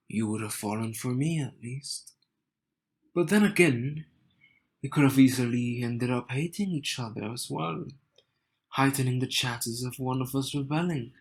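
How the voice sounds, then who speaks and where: Man playing a slow-talking, cocky young bridegroom. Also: mid-range voice, breathy edge. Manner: slow, teasing, smug, with sighs and soft chuckles. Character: cocky, wry, bitter young man in arranged marriage. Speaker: audio roleplay voice actor. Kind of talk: intimate scripted audio roleplay addressed to the listener.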